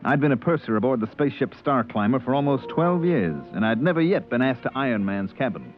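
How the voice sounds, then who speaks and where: An actor playing a respectful, uneasy spaceship purser in a 1950s radio drama, hesitant and a little evasive. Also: male voice, medium pitch, lively intonation, a little fast, soft background music, lightly acted. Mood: confiding. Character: deferential, uneasy spaceship purser, narrating and answering. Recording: dull vintage radio recording.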